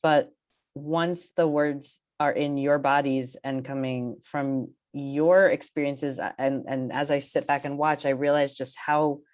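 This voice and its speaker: Low-voiced woman, thoughtful and halting. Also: level tone, darkish tone. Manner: halting, searching for words, earnest. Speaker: theatre artistic team member. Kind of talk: reflective panel discussion talk.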